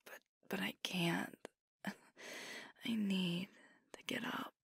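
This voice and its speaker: A woman's voice: on the low side, little pitch movement, slow pace, gravelly, husky, no room sound, clean studio sound, heavily acted. A woman voicing a drowsy whispering werewolf girlfriend. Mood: sleepy, teasing. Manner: drowsy, half-whispered, hesitant with sighs and soft laughs. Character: sleepy young werewolf girlfriend, reluctant to leave bed. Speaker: ASMR roleplay performer. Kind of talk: ASMR roleplay.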